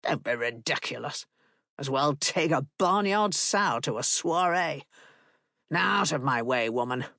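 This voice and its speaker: A woman's voice: deep voice, expressive, measured pace, vocal fry, fairly bright tone, dry recording, clean studio sound, pitched below the performer's own voice, exaggerated voice. A woman voicing a cold, contemptuous aristocratic father who talks in a harsh, sneering way.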